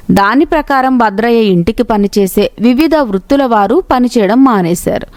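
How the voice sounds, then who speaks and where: A woman's voice: on the high side, a little mellow, no room sound. A woman reading a scripted moral story as its narrator.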